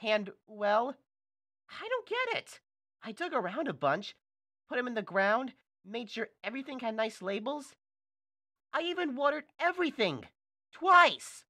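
A man voicing an earnest, excitable young hedgehog hero with an eager delivery. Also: very high voice, a little slow, pitched above the performer's own voice, exaggerated voice. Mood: excited. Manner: eager, exclaiming.

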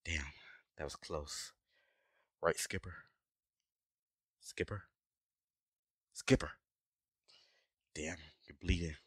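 Very deep-voiced male dragon adventurer, acted by a man. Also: fairly even intonation, very slow, long pauses, slightly rough, dry recording, studio quality, full character voice. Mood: concerned, protective. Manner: urgent, exasperated, addressing a companion directly. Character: gruff, protective male dragon adventurer companion. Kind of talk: scripted ASMR audio roleplay acting.

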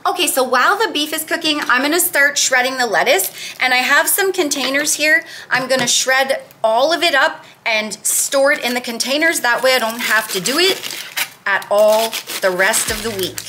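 A woman's voice: sits high, moderate pace, background noise. A woman in her thirties chatting casually as she walks through her meal prep in a home kitchen, upbeat with cutesy words. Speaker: vlogger.

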